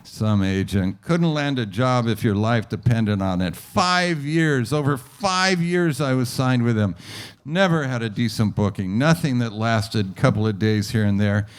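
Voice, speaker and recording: A man's voice: medium pitch, animated, a little slow, clear, resonant tone, close to an everyday voice. A man playing an evasive, bitter washed-up film actor in an old-time radio crime drama. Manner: wary, defensive, bitter grumbling.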